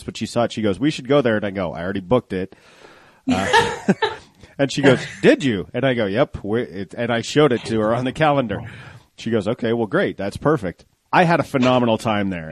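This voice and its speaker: A man's voice: on the low side, a little mellow, no echo. Chatty man host, dry sound. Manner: chatty, storytelling, casual. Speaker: podcast host. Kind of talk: interview chat.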